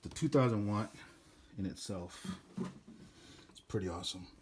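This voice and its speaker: Low-voiced man casually presenting an unboxing. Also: normal speed, heavy background noise. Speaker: DJ rental business presenter.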